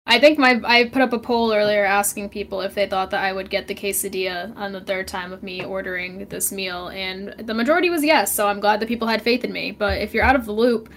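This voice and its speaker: A young woman co-hosting a casual podcast chat, telling stories with lively acted-out quotes. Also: mid-range voice. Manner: animated storytelling, acts out her own quotes. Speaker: podcast co-host.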